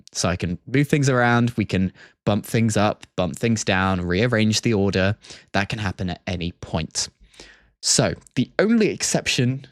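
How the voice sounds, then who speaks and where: A man's voice: fairly low pitch, dry recording, clean studio sound. A man hosting a livestream, explaining things casually and methodically as he goes. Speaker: livestream host. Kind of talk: casual scripted-free stream commentary and explanation.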